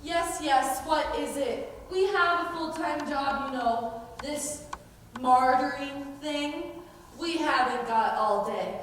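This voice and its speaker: A teenage girl playing a brisk, sanctimonious outlaw nun with a scolding manner in a school stage play. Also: high voice, a bit bright. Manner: pious, scolding, emphatic. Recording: distant, echoey stage recording with noise.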